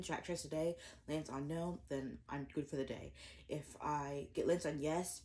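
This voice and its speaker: Young woman, low voice, eat-with-me vlogger; chatty, rambling, self-deprecating. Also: mellow. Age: 20s. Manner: rambling, self-deprecating, many fillers and restarts. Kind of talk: casual eat-with-me chat to camera. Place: indoors at home, eating breakfast.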